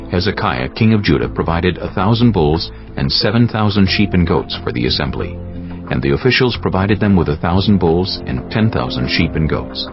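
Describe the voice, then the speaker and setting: Very deep, gravelly male scripture narrator. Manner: measured, solemn storytelling. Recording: dull sound with music behind. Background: prominent music.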